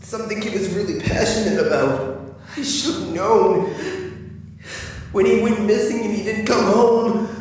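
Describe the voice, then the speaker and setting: Grieving hedgehog hero played by a man, halting and remorseful, audio drama, distant in a big reverberant hall. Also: high voice. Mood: regretful. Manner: halting, anguished, sighing. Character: Sonic, grieving guilt-ridden male hedgehog hero. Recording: very echoey hall, distant mic, faint noise.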